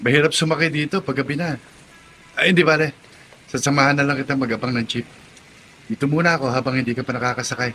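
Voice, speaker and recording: A man's voice: mid-range voice, fairly even intonation, quick pace, pauses often, pushed and bright, a little hiss or hum, natural voice, low-quality recording. An actor playing a friendly, considerate young man in a Filipino radio drama, with an easygoing manner.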